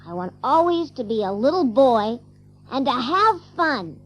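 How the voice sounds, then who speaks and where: A woman's voice: high-pitched, big pitch swings, unhurried, husky, heavily acted. A woman playing a cocky, boastful little boy with a childlike manner in an audio drama of a storybook. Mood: earnest. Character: cocky, boastful ageless little boy who can fly.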